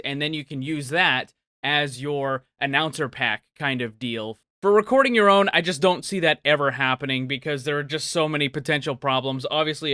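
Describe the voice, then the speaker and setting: Male gaming host, upbeat commentary, forceful bright voice, very dry close sound. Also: medium pitch, smooth, dry recording. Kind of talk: upbeat video commentary answering viewer questions.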